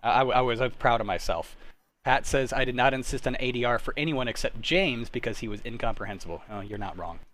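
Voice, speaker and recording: A young adult man hosting a casual livestream chat, telling anecdotes in an animated, self-deprecating way. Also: mid-range voice, quick pace. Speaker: host of an online table-read show.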